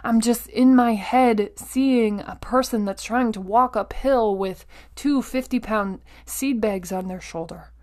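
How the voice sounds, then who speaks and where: A woman hosting a motivational monologue, speaking directly to the listener with emphatic phrasing, deliberate pauses, repetition and rhetorical check-ins. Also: fairly high pitch, a little mellow. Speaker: podcast host.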